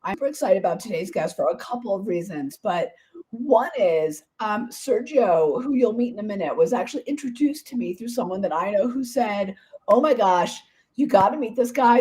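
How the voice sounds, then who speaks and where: A woman hosting a podcast interview, her voice hoarse and partly gone, talking in a bubbly, enthusiastic, chatty way. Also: medium pitch, a little mellow, rough recording. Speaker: podcast host.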